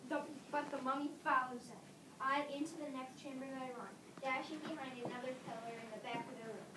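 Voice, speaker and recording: High-voiced young woman, echoey and distant. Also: steady tone. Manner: halting reading with stumbles. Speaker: narrator in readers theater. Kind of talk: scripted narration read aloud. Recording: distant echoey room, clear background noise.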